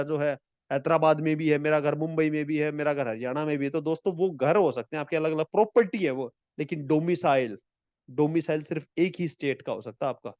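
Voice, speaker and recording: A man teaching an exam-prep lecture in a friendly way. He mixes in English and reads the English lines slowly. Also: fairly high pitch, a little mellow, no echo, muffled recording. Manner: friendly, calls viewers friends.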